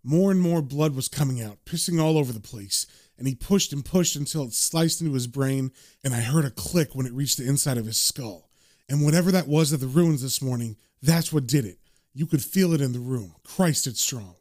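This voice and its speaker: Man as British antihero, lowered voice, weary, cynical, brooding comic book narration. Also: normal speed, darkish tone, close to an everyday voice. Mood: haunted, brooding.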